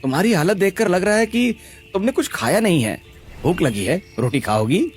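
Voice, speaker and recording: A man playing a young tea-stall keeper in a cartoon story. He is kind, easygoing and gently teasing, with a lively, moving pitch over faint music. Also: normal speed. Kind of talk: Hindi cartoon story acting.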